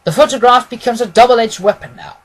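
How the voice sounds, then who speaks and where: A man reading an audiobook, voicing a confident, analytical gentleman detective with an emphatic manner. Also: extremely high pitch, brisk, voice pushed up, low-quality recording. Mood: assured, analytical. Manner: deductive, emphatic, self-assured.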